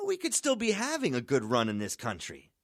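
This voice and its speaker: A man's voice: mid-range voice, huge pitch swings, fairly quick, a bit bright. An actor playing a brash, irreverent internet radio host with a sardonic, punchy showman's delivery in an audio drama. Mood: indignant, fired up. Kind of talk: audio drama acting as live call-in radio show.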